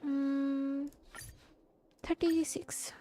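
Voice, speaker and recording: A woman's voice: sits high, flat delivery, very slow. A woman with an Indian accent giving casual live game commentary as a streamer, switching between two languages. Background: game music.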